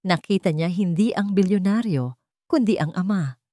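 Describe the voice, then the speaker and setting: A woman's voice: mid-range voice, moderate pace, warm tone, no room sound. A woman with a Filipino accent reading a scripted dramatic story as its narrator. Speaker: narrator of an online story channel.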